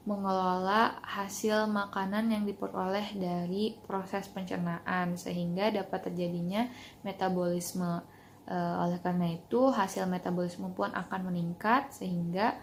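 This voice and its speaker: A young woman, a student explaining in a class presentation. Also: medium pitch. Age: young adult. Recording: clear background noise.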